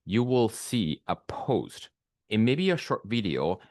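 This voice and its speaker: A man from Peru, a spiritual business coach talking slowly and reflectively to camera, pausing often with fillers. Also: lowish voice, big pitch swings, a little mellow, no echo. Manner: slow, reflective, with frequent pauses and fillers. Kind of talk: solo talk to camera.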